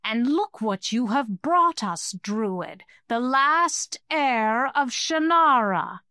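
Woman reader doing a rasping, hissing skull-bearer creature: hateful, high and strained, slow and dry. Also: expressive, bright, forceful tone, no room sound, full character voice. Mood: gloating.

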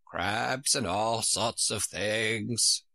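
A strange old sheep who keeps a shop speaks slowly and flatly, voiced by a man. Her words come clipped and businesslike, with no warmth spared. The storybook reading is dry and close, with a bright tone. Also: fairly low pitch, vocal fry, no room sound, full character voice. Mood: curt. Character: old female sheep shopkeeper, curt and odd.

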